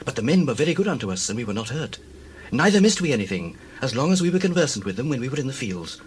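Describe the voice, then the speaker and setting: An actor playing an earnest, anxious young shepherd servant in a dramatized scripture reading, urgent and pleading. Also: male voice, medium pitch, sing-song, fast talker, slight background noise, lightly acted. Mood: urgent warning.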